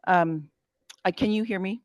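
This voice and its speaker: A woman's voice: medium pitch, measured pace, dry recording. An older woman, a retired teacher, asking a question in an online meeting with many fillers.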